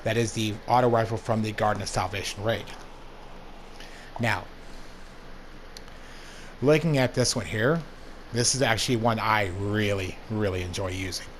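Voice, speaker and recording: A young man with a hoarse voice from allergies, casually talking through a game review as the channel's host. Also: lowish voice, normal speed, long pauses, slight background noise. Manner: laid-back, plain-spoken, rambling asides. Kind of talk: casual gameplay commentary.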